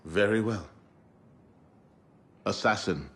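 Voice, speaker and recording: An actor playing a grave, authoritative leader of an assassin order in a video game, formal and solemn. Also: male voice, on the low side, slow pace, a bit bright, slight background noise.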